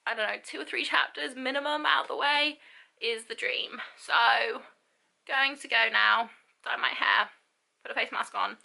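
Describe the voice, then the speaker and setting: A young woman talks casually into her camera, meandering and repeating herself. Her voice sits a touch high, with a bright edge and some vocal fry. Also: measured pace. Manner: rambling, lots of ums and repeats. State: stuffy, sore throat, lethargic. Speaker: book vlogger. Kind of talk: casual vlog talk to camera.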